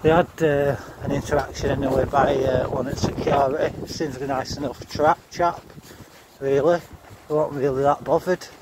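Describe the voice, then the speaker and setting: A man's voice: mid-range voice, fairly even intonation, normal speed. Noisy outdoor vlog, Yorkshire man. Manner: informal, mildly sweary, chatty asides to viewers. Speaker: vlogger. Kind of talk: casual walking vlog chat. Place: outdoors, walking along paths and fences. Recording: noisy outdoor recording with wind.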